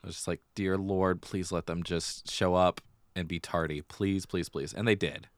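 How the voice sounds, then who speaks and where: A man's voice: low-pitched, fairly even intonation, normal speed, no room sound. An American man co-hosting a podcast, chatting casually with a wry, dry sense of humor. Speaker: podcast co-host. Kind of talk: casual theatre news chat.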